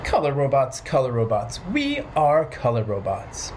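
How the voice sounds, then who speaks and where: A man's voice: medium pitch, wildly swooping pitch, normal speed, background noise. A man voicing a robot from a children's color game, chanting in a repetitive pattern. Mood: cheerful chant.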